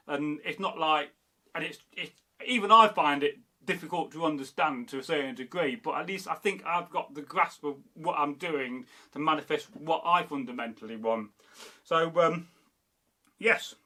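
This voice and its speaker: A man's voice: on the high side, bright, forceful tone. A man from Derby, chatting loosely as a history channel host, reading viewer comments and rambling with lots of fillers. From Derby, England. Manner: rambling, meandering, many fillers and restarts.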